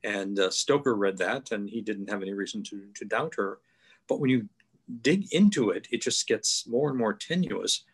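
An older man on a video call with a headset mic, explaining things thoughtfully with many pauses and fillers. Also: lowish voice, expressive, husky. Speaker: guest author. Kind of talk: online panel discussion. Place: home room, video call.

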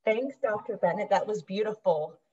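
A woman's voice: mid-range voice, moderate pace, dark tone, husky. A woman in her thirties speaking as a program host, in a scripted style, to camera on a video call. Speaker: program manager hosting an introduction. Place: small room indoors at home. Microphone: computer microphone on video call. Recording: muffled video call audio.

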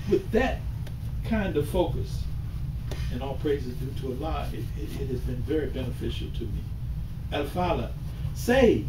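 Older man preaching slowly in an echoey hall. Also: sits high. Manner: slow and deliberate, rising to emphatic calls. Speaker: imam. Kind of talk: sermon. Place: small mosque hall with bookshelves, indoors. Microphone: far camera at the back of the room. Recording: echoey room from far off with hiss.

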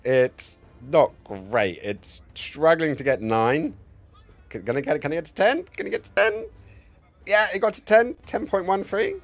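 Sweary, animated man live-streaming a video game. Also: fairly high pitch, a little slow, faint music behind. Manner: sweary, jokey, rambling. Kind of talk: casual live-stream gameplay commentary and chat. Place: indoor home streaming setup. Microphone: close streaming mic on a boom arm.

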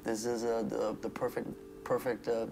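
A man's voice: medium pitch, monotone, a little slow, vocal fry, over music. A man answering an interview question hesitantly, with fillers. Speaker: interviewed musician.

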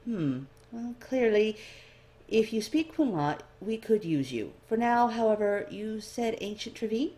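A woman voicing an irritable female spymaster in a story, brisk and imperious. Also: a little high, animated, mellow, pitched above the performer's own voice. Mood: irritated.

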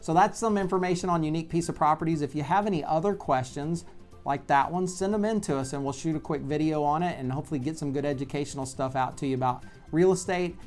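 A man's voice: fairly high pitch, fast, a little mellow. Conversational man explaining, music behind. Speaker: real estate agent hosting a video blog. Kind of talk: explanatory talk to camera. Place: bright office by large windows, indoors. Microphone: lapel mic.

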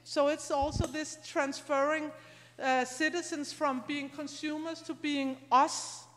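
A middle-aged woman speaks in a high-pitched voice, explaining things conversationally and somewhat haltingly. She sounds like a lecturer at an academic event, on a handheld mic in a hall with long reverb. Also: fairly even intonation, fairly bright tone. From Scandinavia. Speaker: university lecturer. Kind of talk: informal academic conference talk. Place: large lecture room, indoors. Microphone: handheld microphone.

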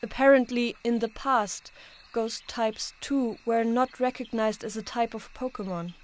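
A woman voicing a proud, solemn young woman monster trainer in a manga dub, speaking in an earnest, measured, theatrical way. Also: on the high side, steady tone, natural voice. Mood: solemn, resolute. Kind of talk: dramatic manga dub acting.

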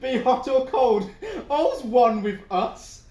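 Man acting high-voiced gloating avenger, roomy echo. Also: takes it slowly, darkish tone. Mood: manic glee. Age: young adult. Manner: theatrical, gloating verse delivery with gleeful laughter. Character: gleefully vengeful brother, mocking, brandishing a poisoned skull. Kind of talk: Jacobean audio drama acting. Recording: roomy, echoing home recording.

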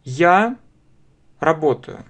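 Very slow Russian-accented male teacher. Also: on the high side, expressive, a bit bright. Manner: very slow, spells out phrases word by word and repeats them. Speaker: English teacher. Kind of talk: slow step-by-step language lesson. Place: small room indoors.

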